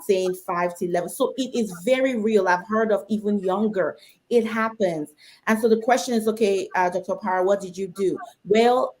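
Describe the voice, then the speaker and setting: A woman's voice: medium pitch, rough recording. Earnest middle-aged woman from Nigeria. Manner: candid, earnest storytelling. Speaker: doctor and panel guest. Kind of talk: webinar panel discussion.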